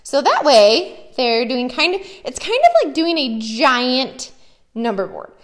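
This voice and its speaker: A woman's voice: high voice, very animated, slow. An American woman, a preschool teacher, chatting enthusiastically and casually as she shows classroom activities.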